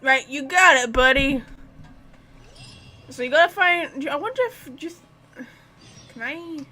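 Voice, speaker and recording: A woman's voice: high voice, slow pace, sharp tone. A woman streaming a game with casual, chatty commentary, reacting and talking to herself as she plays. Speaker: gaming streamer.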